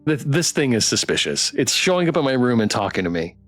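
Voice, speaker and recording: A woman's voice: very deep voice, big pitch swings, brisk, faint music behind. A woman streaming a game, reacting expressively in casual commentary and reading dialogue aloud. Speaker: streamer playing a game blind.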